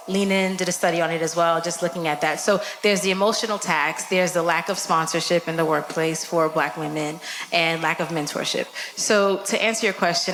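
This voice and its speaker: A woman with a slightly low voice talks candidly as a panel guest. Her delivery is casual and conversational, voicing quoted lines, with clear noise from the live room behind her. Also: poor audio. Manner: candid, animated, acts out quoted dialogue. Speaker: panel guest who works in media. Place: conference stage with live audience.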